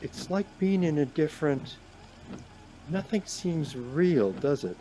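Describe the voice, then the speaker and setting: A man's voice: animated, slow pace, dark tone. An actor playing a numb, guilt-stricken man traveller in a radio play, speaking in a hesitant, subdued way. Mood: dazed, subdued. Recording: background noise with car rumble.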